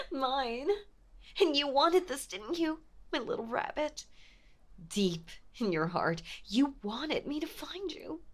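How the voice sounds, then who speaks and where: A woman playing an obsessive, possessive mafia heiress ex-girlfriend in a dramatic audio roleplay, with intense, menacing, theatrical delivery and a breathy voice. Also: sits high, expressive, takes it slowly, long pauses. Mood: manic, gleeful. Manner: intense, menacing, theatrical, swinging between hush and outburst. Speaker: voice actor.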